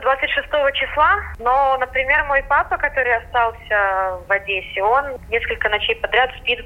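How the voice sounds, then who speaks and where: A woman's voice: fairly high pitch, fairly even intonation, moderate pace. Young woman in her twenties, articulate, composed, tinny phone line. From Latvia. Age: 20s. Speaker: interview guest. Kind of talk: phone interview.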